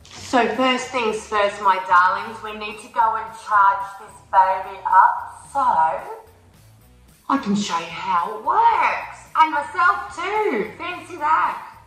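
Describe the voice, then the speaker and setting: A woman's voice: fairly high pitch, slow pace, bright, forceful tone. A young Australian woman chatting through a product review vlog at home, bubbly and cheeky, sometimes breaking into little sung bits as she walks around her kitchen. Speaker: vlogger. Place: large modern kitchen and living room indoors. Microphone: phone camera on the bench, moving around the room. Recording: roomy echo with faint music.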